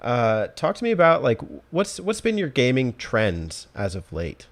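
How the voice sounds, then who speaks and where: Man hosting a casual podcast, medium-pitched. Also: wildly swooping pitch, unhurried. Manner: informal, encouraging, thinking aloud as he asks. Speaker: podcast host. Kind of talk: casual interview chat.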